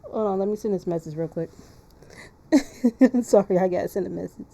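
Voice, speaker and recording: Mellow-voiced woman streaming casually. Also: mid-range voice, a little fast, gravelly. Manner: chatty, informal, repeats phrases. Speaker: streamer hosting a visual novel playthrough. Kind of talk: casual live-stream chat. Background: music behind the voice.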